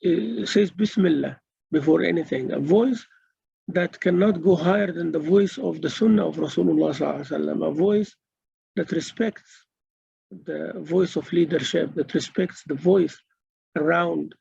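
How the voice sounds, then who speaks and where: A man teaching a religious lecture to women students, earnest and halting, with many pauses and repeated phrases for emphasis, on a dull lo-fi recording. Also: a little high, vocal fry, warm tone, slightly rough. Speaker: Islamic teacher and mentor.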